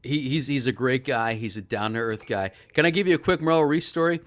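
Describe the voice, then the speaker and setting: Middle-aged male guest; lively storyteller, mid-range voice. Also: medium pitch, a little fast. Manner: animated, excited storyteller. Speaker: guest, sports broadcaster. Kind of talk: casual interview storytelling.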